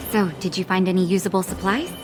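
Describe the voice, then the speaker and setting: A woman voicing a composed, teasing underground medic in a video game, with an unhurried manner. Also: mid-range voice, natural voice. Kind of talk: scripted video game cutscene dialogue.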